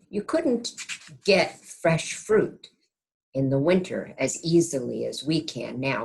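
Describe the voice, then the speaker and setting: A woman with a low voice reads a children's picture book aloud. Her pitch moves in lively swoops, and she speaks like a kindergarten teacher, pausing to explain and put gentle questions to little listeners. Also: vocal fry, low-quality recording. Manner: asks the young listeners gentle tag questions.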